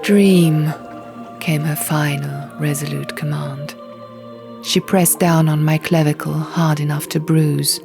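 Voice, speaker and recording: A woman reading a fantasy audiobook dramatically in the first person, in hushed, deliberate short phrases, over music and sound effects. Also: sits low, flat delivery, vocal fry. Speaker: audiobook narrator.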